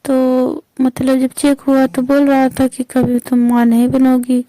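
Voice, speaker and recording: A woman plays a married aunt on an intimate phone call, her voice high and rather even, with a soft, mellow tone. She speaks colloquially, hesitating and protesting in a coy way. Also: a little slow, no echo. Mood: hesitant, protesting.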